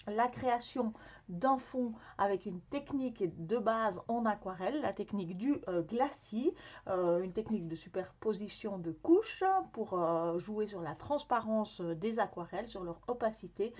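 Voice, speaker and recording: A woman's voice: mid-range voice, darkish tone. Hesitant woman demonstrating crafts. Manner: friendly, frequent hesitations with "euh". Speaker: scrapbooking workshop host. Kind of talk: casual product demonstration. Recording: slightly dull lo-fi sound.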